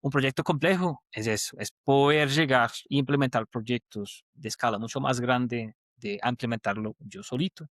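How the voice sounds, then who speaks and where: A man's voice: mid-range voice, normal speed, few pauses, fairly bright tone, no room sound. A man in his thirties from Portugal whose first language is Portuguese, talking at length as a podcast guest, fluent and reflective with some restarts. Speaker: guest, transport project leader. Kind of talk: conversational interview.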